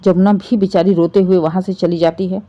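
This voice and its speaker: A woman's voice: lowish voice, little pitch movement, fairly quick, dark tone, lo-fi sound. A woman narrating an emotional family story in a dramatic, scripted storytelling style.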